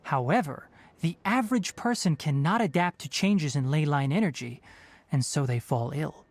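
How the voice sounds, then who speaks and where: A composed young scientist from a video game. The delivery is precise and formal, like a lecture, with clinical phrasing carried on a very mobile pitch. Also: lively intonation, normal speed. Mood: lecturing. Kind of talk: scripted video game dialogue.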